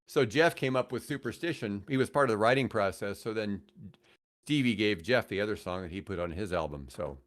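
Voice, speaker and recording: A man's voice: fairly low pitch, animated, brisk. Middle-aged American man swapping music stories. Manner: rambling, enthusiastic about music. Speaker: podcast guest, guitarist and radio host. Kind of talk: casual storytelling conversation.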